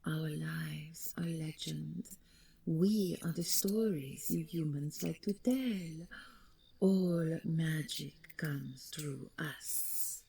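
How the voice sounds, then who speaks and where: A woman playing the vain, taunting ghost of a deposed dragon queen in an audio roleplay, speaking theatrically and mockingly with a breathy voice that slips into whispers. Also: very slow, warm tone, slight background noise, full character voice. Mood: proud, wounded. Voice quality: low, breathy, husky, creaky. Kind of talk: dramatic audio roleplay monologue.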